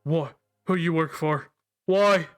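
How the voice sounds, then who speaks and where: A frantic male thug, pinned to the ground, pleads and swears in a strained, high-pitched voice, as a man puts him on. He sounds cornered and desperate. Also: unhurried, soft background music, natural voice. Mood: panicked.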